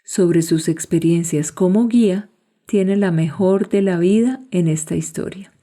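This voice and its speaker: A woman's voice: fairly low pitch, measured pace, warm tone. A woman, reading scripted podcast narration as host. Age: 60s. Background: guitar music.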